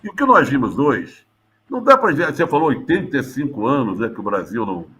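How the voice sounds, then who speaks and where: A Brazilian man giving an exasperated, emphatic opinion in a studio sports debate, with loud bursts and low mutters. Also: very animated, quick pace, slight background noise. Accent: Brazilian Portuguese. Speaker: sports pundit.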